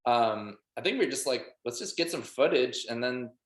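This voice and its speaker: Man, mid-pitched, roomy echo, casual interview talk, emphatic, heavy filler "like". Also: animated, normal speed. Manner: emphatic, heavy use of "like" and "literally". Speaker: band musician being interviewed. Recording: roomy, echoey sound.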